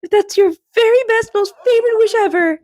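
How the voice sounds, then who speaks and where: A woman's voice: very high voice, fairly quick, darkish tone, dry recording, studio quality, pitched above the performer's own voice. A woman reading aloud and voicing a timid female wish-granting rock spirit that frets, quavers and frightens easily. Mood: nervous.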